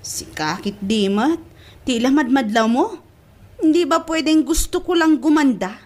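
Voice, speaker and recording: A woman's voice: high-pitched, expressive, unhurried, darkish tone. An actress playing a devoted, lovestruck young woman in an emotive Ilocano audio drama, drawing out her words. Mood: defensive, playful. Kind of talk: Ilocano radio-style drama acting.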